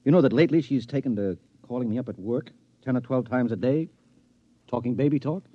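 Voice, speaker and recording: An actor playing a skeptical married friend, hesitant and full of fillers, with a husky voice, in a 1950s radio drama. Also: male voice, mid-range voice, quick pace, highs cut off, natural voice. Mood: coaxing. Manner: doubtful, hesitant, many uh fillers. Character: skeptical married friend, lodge buddy, male.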